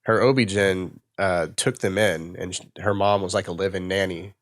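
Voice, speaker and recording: Texan man, comedian guest, dry voice on the low side, loose rambling delivery, dry close sound. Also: steady tone, normal speed, fairly bright tone, no room sound. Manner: loose, rambling, laughing storyteller. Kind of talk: casual podcast chat.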